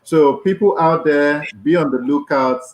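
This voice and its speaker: Affirming male interviewer, roomy sound. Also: fairly high pitch. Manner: affirming, measured questions with hesitations.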